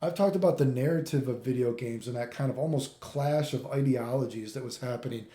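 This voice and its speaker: A man's voice: medium pitch, brisk, warm tone. A middle-aged man from Canada giving an off-the-cuff, opinionated rant, exasperated and emphatic, with repetition, rhetorical questions and swearing. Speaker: gaming commentator. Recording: echoey, roomy sound.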